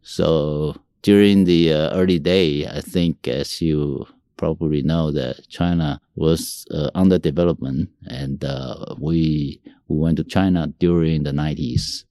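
An older man with a Taiwanese accent, a native Mandarin and Taiwanese speaker, telling stories casually as an interview guest in a halting way. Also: a bass voice, creaky, mellow, no echo, clean studio sound. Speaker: company CEO, interview guest.

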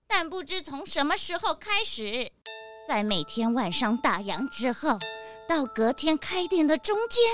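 A woman plays a fretful old hen in a children's radio play. The voice is high and swoops dramatically, with a Taiwanese Mandarin accent and faint music behind. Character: fretful old mother hen running a supermarket.

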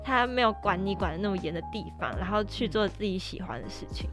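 A woman's voice: medium pitch, animated, fast talker. Female podcast co-host, casual discussion, mid-range voice, faint music underneath.

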